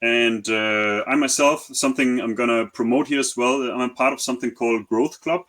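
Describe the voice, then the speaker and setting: A man's voice: medium pitch, steady tone, brisk, fairly bright tone. Hesitant man stumbling over repeats. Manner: hesitant, many repeated words and uhs. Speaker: startup founder. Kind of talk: webinar talk.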